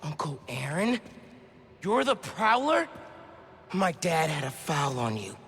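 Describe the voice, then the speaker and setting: Earnest teenage superhero for game cinematic; youthful, expressive, slightly high voice. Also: male voice, measured pace, slightly rough, exaggerated voice. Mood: defiant. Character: earnest, eager, determined teenage boy hero. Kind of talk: dramatic game cutscene acting. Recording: loud ambient and vehicle noise.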